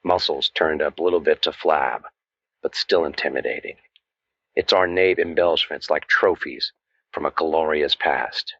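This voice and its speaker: An actor playing a cynical, wisecracking waterfront private eye, narrating old-time radio noir in a deadpan, world-weary manner. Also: male voice, very deep voice, normal speed, no echo, lo-fi sound, full character voice. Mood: wry, brooding. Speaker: narrator and lead detective.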